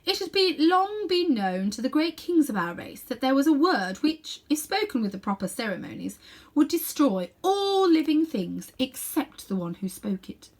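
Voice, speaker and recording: Woman plays storybook sorceress queen: raised, animated pitch, haughty, declamatory, ruthless. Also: normal speed. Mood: indignant, self-justifying. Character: imperious ruthless sorceress queen, proud and grandiose. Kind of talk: dramatic children's storybook reading.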